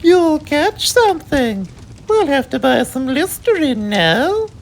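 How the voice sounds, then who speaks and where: Man as prissy mother, very high falsetto, snobbish, comic storybook voice, swooping. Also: big pitch swings, takes it slowly, heavily acted. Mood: alarmed, scolding.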